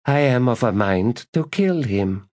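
A man's voice: mid-range voice, expressive, a little slow, darkish tone, dry recording, clean studio sound, voice pushed up, natural voice. A male narrator voicing an elegant, disdainful noblewoman with a languid, weary manner, in an audiobook. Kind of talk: audiobook character voice.

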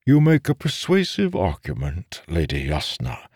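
A man's voice: deep voice, huge pitch swings, measured pace, darkish tone, breathy edge, no room sound, clean studio sound, exaggerated voice. A man voicing a weary, earnest soldier in an audiobook story, with a grave and formal manner. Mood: guarded. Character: weary, earnest soldier, frustrated and doubted as madman.

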